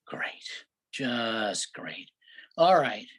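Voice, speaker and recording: Man acting exasperated husband, swooping pitch. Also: lively intonation, extremely slow, fairly bright tone, exaggerated voice. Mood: incredulous, grudging. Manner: sarcastic, griping, incredulous. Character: exasperated, impatient husband on vacation, sarcastic tightwad. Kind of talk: amateur staged play reading.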